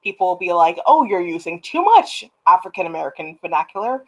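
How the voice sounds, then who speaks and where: A young woman from Canada, a guest writer on a video call, speaking reflectively with many pauses and ums. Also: on the low side, very animated, fairly bright tone, dull audio. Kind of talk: casual video call chat. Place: home room on a video call.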